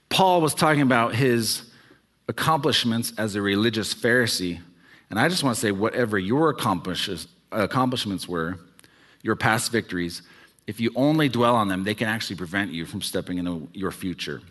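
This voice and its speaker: A man's voice: fairly low pitch, animated, moderate pace, fairly bright tone. A man in his early forties preaching a sermon as pastor, talking conversationally and checking in with the congregation with frequent "okay"s. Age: 40s. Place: church sanctuary.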